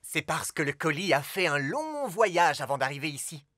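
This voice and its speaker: A man's voice: fairly high pitch, big pitch swings, normal speed, very noisy. A man voicing a friendly, polite zebra postman in a preschool cartoon, courteous and upbeat. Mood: helpful. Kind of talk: animated preschool cartoon dubbing.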